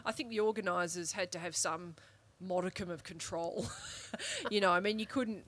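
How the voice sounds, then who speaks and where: A woman's voice: lowish voice, steady tone, moderate pace, a bit bright, slight background noise. A woman moderating a festival panel in front of an audience, chatty and jokey, taking questions informally. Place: live event room with an audience.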